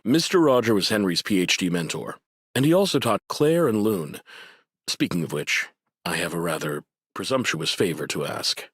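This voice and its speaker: A man's voice: deep voice, very animated, moderate pace, breathy edge, no room sound, clean studio sound, exaggerated voice. A man playing a courteous, earnest scholarly administrator in an anime game, speaking formally and politely. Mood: polite, composed. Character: courteous, earnest male academic district administrator. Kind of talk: scripted anime game dialogue.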